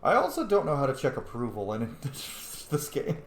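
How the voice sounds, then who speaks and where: A man's voice: medium pitch, wildly swooping pitch, normal speed. Man muttering in a roomy, faintly noisy space. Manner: muttering to himself, thinking aloud. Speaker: let's play host. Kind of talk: casual gameplay commentary. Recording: roomy echo, faint background noise.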